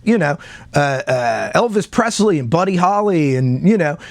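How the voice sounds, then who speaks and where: Higher-pitched man talking music casually. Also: lively intonation, normal speed, creaky, dry recording. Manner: conversational, repeats himself for emphasis, checks in with listener. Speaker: music commentator. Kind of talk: casual opinionated talk about music history.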